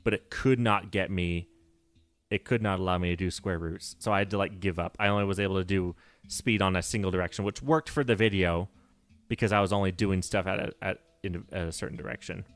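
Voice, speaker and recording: Low-voiced nerdy male streamer. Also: fairly even intonation, fairly quick. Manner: rambling, self-correcting, nerdy, laughs often. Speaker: livestreamer. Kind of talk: casual livestream chat while playing.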